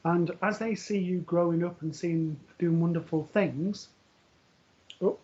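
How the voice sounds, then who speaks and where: A man working as a medium, giving a live reading in a gentle, reassuring way, often pausing with ums and restarting sentences. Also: high-pitched, fairly even intonation. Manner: hesitant, full of ums and restarts. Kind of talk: live-streamed spiritual reading. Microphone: earpiece for live broadcast. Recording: dull lo-fi stream audio.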